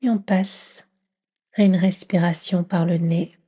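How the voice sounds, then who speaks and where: A woman leading a guided yoga nidra meditation in a gentle, soothing near-whisper with long pauses. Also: fairly low pitch, little pitch movement, slightly rough.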